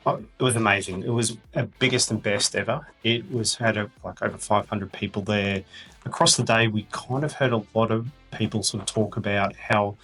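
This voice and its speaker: A man's voice: fairly low pitch, fairly even intonation, moderate pace, a bit bright, slightly rough, poor audio. A man talking conversationally about business as an executive being interviewed. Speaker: interviewee, regional company executive. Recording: music behind the talk. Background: background music.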